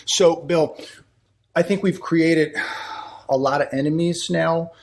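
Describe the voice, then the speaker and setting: A man's voice: a little high, steady tone, slow pace. A man hosting a talk show, passing questions to his guest with easygoing, brief prompts. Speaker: host.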